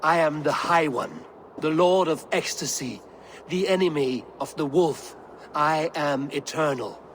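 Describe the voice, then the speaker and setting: An actor playing a proud, brooding ruler of the gods with a grand, commanding manner in a game cutscene. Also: male voice, a little high, unhurried, slight background noise, close to an everyday voice. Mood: defiant. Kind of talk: dramatic video game cutscene acting.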